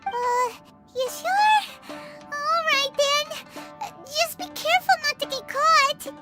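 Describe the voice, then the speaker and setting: A woman voicing a tiny, excitable fairy-like companion in a video game dub, chattering in quick exclamations. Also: very high voice, music in the background. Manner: refers to herself in the third person, quick exclamations.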